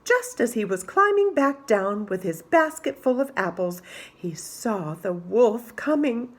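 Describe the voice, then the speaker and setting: A woman reading a children's story aloud as an expressive narrator. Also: on the high side, a little slow.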